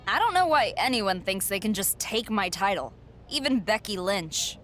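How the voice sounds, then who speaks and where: A woman's voice: mid-range voice, expressive, moderate pace, fairly bright tone, soft background music, natural voice. A woman playing a cocky, self-assured champion wrestler in a video game cutscene, with sarcastic delivery. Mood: sardonic.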